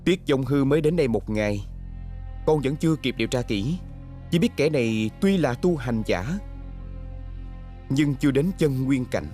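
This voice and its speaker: A man's voice: mid-range voice, animated, normal speed, pauses often, mellow, soft background music, close to an everyday voice. A man dubbing a scheming young nobleman in an ancient court drama, with a formal, courtly, insinuating manner. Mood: plotting. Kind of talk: Vietnamese dubbing of costume drama.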